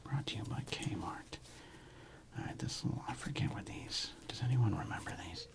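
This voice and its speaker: A man hosting an ASMR video, speaking in a breathy whisper with a gravelly creak, rambling casually as if musing to himself. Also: medium pitch, expressive, moderate pace. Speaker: host of a sports card ASMR channel. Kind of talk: ASMR, unscripted whispered commentary on a card collection.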